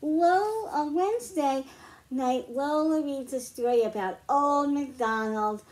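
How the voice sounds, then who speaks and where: A middle-aged woman reading picture books aloud to young children, explaining slowly and clearly at home. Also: high-pitched, a little mellow. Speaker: children's librarian. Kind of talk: storytime read-aloud for kids.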